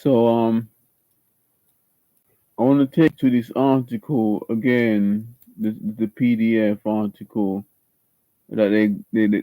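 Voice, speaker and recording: A man's voice: lowish voice, dry recording, narrow, tinny audio. A man with a slight Jamaican accent, chatting casually on a live stream and reading instructions aloud, often repeating and restarting his words. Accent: Jamaican-tinged. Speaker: livestreamer preparing an acting audition. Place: at home, seated.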